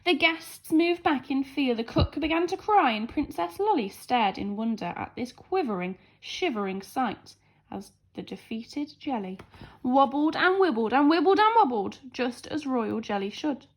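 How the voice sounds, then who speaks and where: A woman's voice: sits high, expressive, a little slow. A woman teacher reading a storybook aloud to young children with dramatic, emphatic expression.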